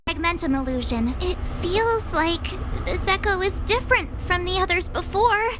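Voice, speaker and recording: Very high-pitched perky teenage girl. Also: normal speed, background noise. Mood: puzzled. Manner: chirpy, youthful, expressive. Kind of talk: video game voice acting.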